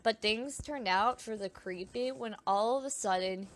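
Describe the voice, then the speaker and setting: A woman's voice: on the high side, moderate pace, a little hiss or hum. A woman reading scripted creepy true stories in an informal way, stumbling over words now and then with self-conscious asides. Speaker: video narrator.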